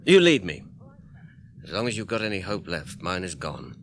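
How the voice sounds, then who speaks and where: An actor playing a weary, despairing young male hobbit who often speaks in a hushed whisper, in an old radio drama. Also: low voice, big pitch swings, moderate pace. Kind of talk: 1980s radio drama acting.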